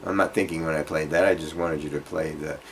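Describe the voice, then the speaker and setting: A man's voice: a bass voice, steady tone, quick pace, a little hiss or hum. A man giving an informal guitar lesson, rambling and thinking aloud in a self-deprecating way as he explains. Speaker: guitar teacher. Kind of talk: informal music workshop lesson. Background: acoustic guitar being played.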